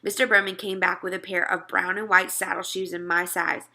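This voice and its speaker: A woman narrating a children's story as an expressive read-aloud. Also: on the low side, fairly quick.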